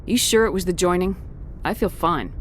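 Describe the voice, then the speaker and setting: A woman's voice: lowish voice, normal speed, slight background noise, natural voice. A woman playing a tough, blunt military commander in a video game, with a curt, commanding manner. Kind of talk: video game dialogue acting.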